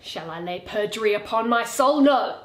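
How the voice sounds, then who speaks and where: A woman's voice: on the high side, animated, moderate pace, lightly acted. A woman playing a bitter, stubborn, vengeful old male moneylender in an emphatic, declamatory Shakespeare reading. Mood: vehement, resolute. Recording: echoey bare room.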